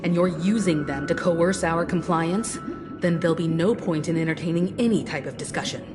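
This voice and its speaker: A woman's voice: lowish voice, moderate pace, lightly acted. An actress playing a poised adult female captain who speaks in a composed, cool, firm way. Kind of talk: video game voice acting. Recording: game cutscene with loud score. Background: orchestral music.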